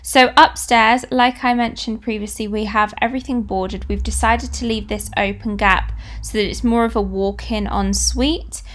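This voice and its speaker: A woman's voice: mid-range voice, a bit bright. Chatty woman vlogging a renovation. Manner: chatty, enthusiastic, lots of likes and ums. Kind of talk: casual home renovation vlog. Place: house under renovation, indoors. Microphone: camera held or set close, front-facing.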